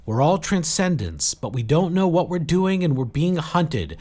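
A man acts out a weary but resolute woman in an adventure audiobook. She speaks bluntly and urgently in short sentences, with a lifted, animated pitch. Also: measured pace. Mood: patient. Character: weary, frank, determined woman leading a desperate group.

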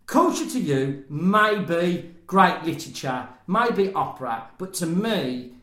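A man talking films, opinionated and emphatic, his voice sitting high and swinging widely in pitch. He swears freely. The sound carries a roomy echo. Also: sing-song, a little slow, bright, forceful tone. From Britain. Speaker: podcast co-host. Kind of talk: casual film chat.

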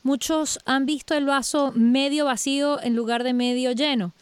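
A woman's voice: high-pitched, normal speed, clear, resonant tone, no echo. A woman presenting and interviewing on a radio-style show, reading a polished introduction and then asking questions. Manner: polished, expressive presenter delivery.

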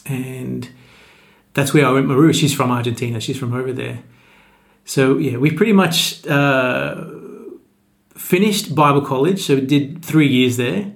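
A man's voice: medium pitch, measured pace, vocal fry. A man, a pastor chatting as a podcast guest in a friendly, easygoing way. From Australia. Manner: friendly, easygoing, with frequent restarts. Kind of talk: casual interview.

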